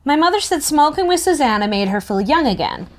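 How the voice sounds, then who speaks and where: A woman reading a first-person novel aloud in an expressive, youthful storytelling manner. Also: high-pitched, a little fast. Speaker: narrator in a table read.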